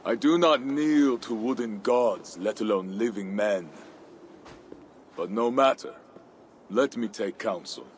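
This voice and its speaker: A man playing a proud, scornful Viking warlord in a video game, deliberate and disdainful. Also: lowish voice, slight background noise. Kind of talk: cinematic game dialogue.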